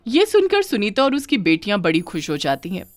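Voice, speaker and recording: A woman narrating a scripted children's moral story with dramatic, expressive delivery. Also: medium pitch, a little fast, no echo, faint music behind.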